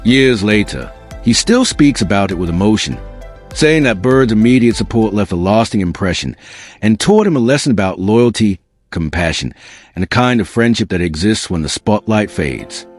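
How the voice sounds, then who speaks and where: A male narrator with a fairly low, very animated voice reads a scripted documentary. His delivery is earnest and dramatic, over soft background music. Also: moderate pace.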